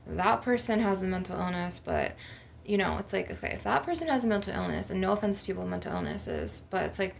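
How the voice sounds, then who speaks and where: A woman rambling casually in a blunt, aggrieved, sweary way while making an ASMR video with tapping. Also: fairly low pitch. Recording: clear background noise with clicking, clinking taps. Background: glass and crystal tapping.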